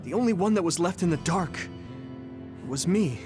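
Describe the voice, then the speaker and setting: An actor voicing an earnest, troubled young platoon hero in an English-dubbed anime game, speaking plainly. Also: male voice, high-pitched, lively intonation, moderate pace. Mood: anguished. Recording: game music behind the voice.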